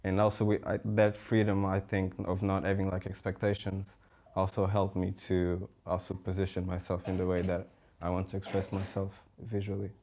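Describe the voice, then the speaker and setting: Young guy from portugal, really low flat voice, mellow, rambles with lots of ums. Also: normal speed, few pauses. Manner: relaxed, rambling, many fillers. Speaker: visual artist, panel guest. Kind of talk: casual conversational talk.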